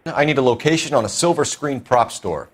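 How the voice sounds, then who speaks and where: An actor playing a stern, formal 1940s police detective with a blunt, accusatory manner in a crime game. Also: male voice, mid-range voice, expressive, a little fast, a little hiss or hum, natural voice. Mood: businesslike.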